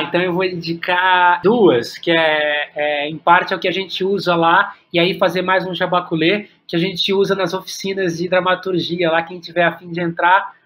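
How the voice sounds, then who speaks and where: A man's voice: high-pitched, fairly bright tone. A Brazilian man talking casually as an interview guest, often repeating words as he searches for the next one. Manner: halting, repeats words as he thinks. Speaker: podcast guest, playwright and workshop teacher.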